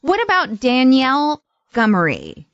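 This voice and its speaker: Woman playing a high-voiced, chirpy party planner. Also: wildly swooping pitch, moderate pace, no echo, lo-fi sound, heavily acted. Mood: chirpy, courteous. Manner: effusively courteous, businesslike. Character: polite, upbeat female party planner on the phone.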